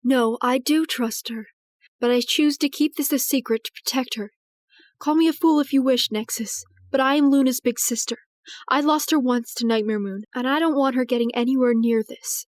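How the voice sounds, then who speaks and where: A woman voicing a regal, protective pony princess in an audio drama, speaking formally and earnestly with measured, anxious gravity. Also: high-pitched, fairly even intonation, no echo, natural voice. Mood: earnest, troubled. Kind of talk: dramatized audio fiction reading.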